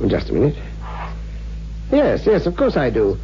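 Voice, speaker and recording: An actor playing a kindly, sceptical older scholar in a 1960s radio drama, courteous and avuncular. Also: male voice, a little high, huge pitch swings, unhurried, mellow. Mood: sceptical, puzzled. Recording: dull old radio recording, faint hum.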